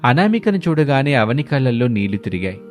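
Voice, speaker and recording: A man's voice: medium pitch, sing-song, brisk, faint music behind. A man narrating a scripted moral story as the storyteller.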